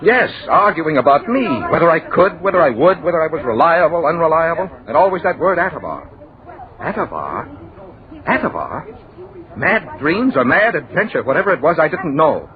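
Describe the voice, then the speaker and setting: An actor playing a bewildered, haunted young man in 1940s radio drama, telling his tale in halting, dramatic broken phrases and repeated words. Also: fairly high pitch, sing-song. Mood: agitated, confused. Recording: phone-like, noisy old 1940s radio recording.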